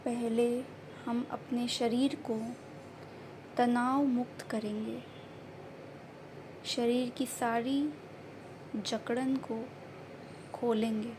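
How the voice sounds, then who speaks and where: Very slow, soothing woman guiding meditation. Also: a little high, little pitch movement. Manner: gentle, soothing, unhurried instructions in short phrases. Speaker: meditation guide. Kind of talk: guided meditation narration. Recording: faint background noise and ambience.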